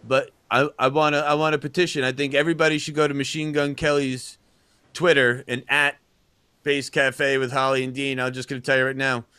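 A man's voice: mid-range voice, little pitch movement, fairly quick, sharp tone, clean tone, dry recording. A man co-hosting a casual talk show, rambling and chatty with lots of fillers. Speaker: podcast co-host. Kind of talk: casual chat.

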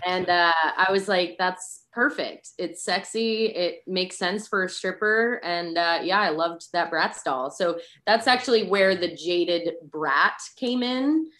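A woman's voice: on the low side, a little slow, few pauses, a bit bright. A woman from New England, a podcast guest talking casually with frequent likes and ums. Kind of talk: casual interview.

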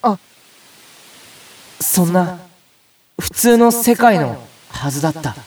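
High-pitched, friendly young man played by an actor. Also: animated, slow pace, darkish tone, far from the mic. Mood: uneasy narration. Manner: chatty, comic, flustered quipping. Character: friendly, excitable ordinary young man, self-styled big brother. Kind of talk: Japanese audio drama acting with first-person narration.

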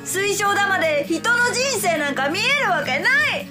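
An actress playing a timid, anxious fortune-teller in a radio drama, easily overwhelmed and hesitant. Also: female voice, very high voice, expressive, normal speed. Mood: desperate, worked up. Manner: hesitant, apologetic. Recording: guitar music clearly behind.

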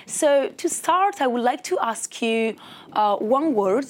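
Young woman interviewer, higher voice. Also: lively intonation, takes it slowly. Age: young adult. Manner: encouraging, brief back-channel replies. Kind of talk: interview questions.

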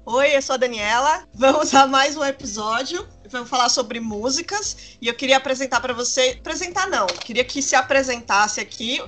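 Co-host: woman, easygoing and giggly, a touch high-pitched. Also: moderate pace, hardly any pauses. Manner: relaxed, laughing easily. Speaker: podcast co-host. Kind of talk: casual podcast chat.

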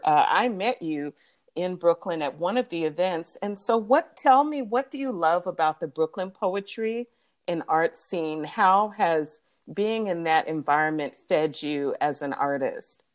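A woman's voice: on the low side, animated, a little slow. A woman interviewing a poet in a casual conversation. She is affirming and chatty, and she asks long, winding questions.

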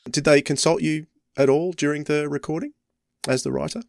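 A man's voice: fairly high pitch, fairly even intonation, fairly quick, vocal fry, darkish tone, no echo, studio quality. A man co-hosting a podcast, asking questions in a casual conversational way. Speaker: podcast co-host interviewer. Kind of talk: casual interview chat.

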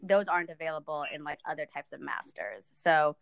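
A woman's voice: low voice, a little fast, creaky, no room sound, dull audio. A young woman from Ethiopia, a podcast guest chatting casually and thoughtfully, with frequent fillers.

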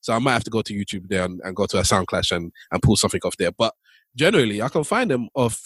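A man's voice: lowish voice, expressive, fast talker, breathy edge, no echo. A man hosting a podcast, interviewing in a casual, conversational style. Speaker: podcast host.

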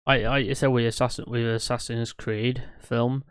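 A man's voice: medium pitch, flat delivery, moderate pace, smooth, no echo, clean studio sound. A man co-hosting a podcast in casual chat, eager and rambling, often correcting himself mid-sentence. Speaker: podcast co-host.

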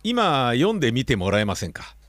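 A man's voice: mid-range voice, huge pitch swings, brisk, fairly bright tone, dry recording. An actor playing a courteous middle-aged father who speaks formally in a radio drama. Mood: earnest. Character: polite middle-aged father of a deaf daughter.

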